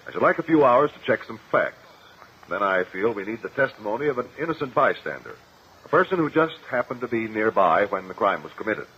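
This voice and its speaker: Calm, probing radio-drama attorney. Formal, very varied pitch, muffled vintage sound. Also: male voice, brisk. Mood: formal, measured. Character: calm, methodical male lawyer questioning witnesses in court. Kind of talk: 1950 radio courtroom drama acting. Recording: muffled, hissy old radio recording.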